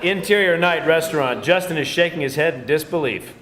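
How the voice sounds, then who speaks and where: A man's voice: on the high side, brisk, a bit bright, a little hiss or hum, low-quality recording. A man reading scene headings and stage directions as narrator of a screenplay table read.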